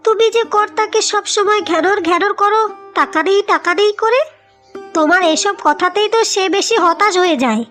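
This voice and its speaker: A woman voicing a breezy, vain younger co-wife in a cartoon, defiant and quick to retort. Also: extremely high pitch, a bit bright, soft background music. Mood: defiant, indignant. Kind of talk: cartoon voice acting.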